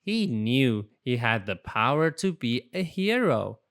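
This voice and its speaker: A storybook reader narrating for young children in an expressive, deliberate way. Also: mid-range voice. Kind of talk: animated children's read-aloud narration.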